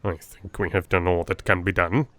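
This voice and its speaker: A man's voice: low voice, steady tone, moderate pace, a bit bright, dry recording, voice pushed down. Man playing an eager Victorian police detective. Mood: self-satisfied. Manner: deferential, self-satisfied. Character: eager, self-satisfied, evasive male police detective. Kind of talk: dramatised Victorian audiobook dialogue.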